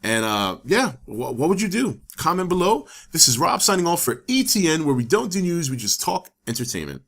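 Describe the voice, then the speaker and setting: A man hosting his own channel, talking casually to camera, a little hoarse from the flu, rambling with many fillers and restarts. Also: on the high side, very animated, a little fast, fairly bright tone. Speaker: channel host. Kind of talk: casual opinion talk to camera.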